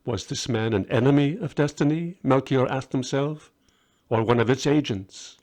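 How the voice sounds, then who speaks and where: A man narrates a short story as its author, reading from a prepared text. His voice sits at an ordinary middle pitch for a man. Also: moderate pace, a little mellow.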